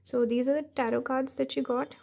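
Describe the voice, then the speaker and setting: Hoarse, gravelly-voiced tarot reader woman. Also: high-pitched, steady tone, a little mellow. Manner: thinking aloud, unhurried. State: hoarse, tired voice. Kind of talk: pick-a-card tarot reading.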